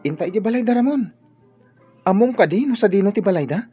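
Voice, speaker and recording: A man's voice: high voice, expressive, fast talker, faint music behind. An actor playing a young male student who talks earnestly and encourages his friend in a radio drama. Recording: dull radio drama audio.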